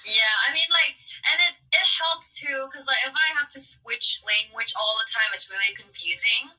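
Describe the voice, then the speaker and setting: A young woman with a bright, husky voice chats on a live video call. Her first language is Thai, and she talks fast with plenty of "like" and "um". The stream audio is thin and sounds a little far from the mic. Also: on the high side. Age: young adult. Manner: chatty, quick, lots of "like" and "um". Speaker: guest in a live video chat. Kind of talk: casual chat. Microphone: phone or laptop video call.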